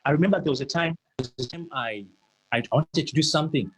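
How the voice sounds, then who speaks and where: A man's voice: a little high, fast talker, rough recording. A man giving a motivational talk, earnest and emphatic, who stammers and repeats words now and then. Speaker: motivational speaker.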